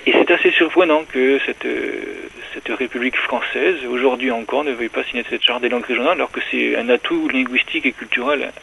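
A man's voice: medium pitch, brisk, vocal fry, hardly any pauses. A man speaking as an interviewed academic and teacher, explaining his views in an articulate, didactic way with emphatic repetition. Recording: dull lo-fi radio sound, faint noise.

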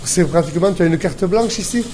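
A man's voice: sits high, a little fast, dark tone, slight background noise. A man interviewing a chef, often stopping and rephrasing his questions.

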